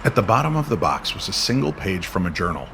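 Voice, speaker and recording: Guy narrating spooky radio story, lowish voice, lively pitch, measured, ambient noise. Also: creaky. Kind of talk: dramatic scripted narration of a paranormal radio story.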